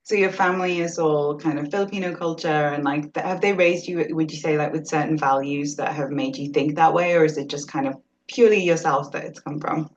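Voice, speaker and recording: A woman's voice: sits low, steady tone, fairly quick, few pauses. A young woman hosting a podcast, chatty and full of "like" fillers as she asks questions. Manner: chatty, fills with "like" a lot. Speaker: podcast host. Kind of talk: conversational interview. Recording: dull lo-fi call audio.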